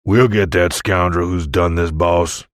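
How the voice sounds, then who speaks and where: Man doing a slow, drawling giant gunslinger. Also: deep voice, steady tone, dry recording, clean studio sound. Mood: gruff resolve. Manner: folksy, drawling. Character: big giant gunslinger, proud, tough cowboy.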